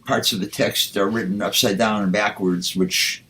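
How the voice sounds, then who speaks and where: A man, a poet, speaking and reciting with deliberate, measured phrasing. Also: fairly low pitch, fairly even intonation, sharp tone. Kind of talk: spoken introduction and poetry recitation.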